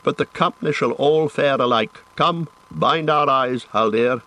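A man's voice: mid-range voice, measured pace, bright, forceful tone, voice pushed up. A man reading an audiobook, voicing a male ranger who leads the company, firm and commanding.

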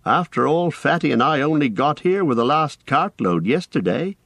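A man voicing a cheerful, bossy young male hobbit who gives brisk orders in a raised, lively voice. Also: a little high, creaky, no echo, studio quality, voice pushed up, heavily acted. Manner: brisk, commanding, exclaiming.

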